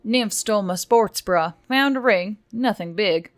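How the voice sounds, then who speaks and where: A woman's voice: mid-range voice, measured pace, lightly acted. A woman voicing a shaken, guarded female adventurer in an audiobook-style story, understated, over music. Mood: guarded, weary.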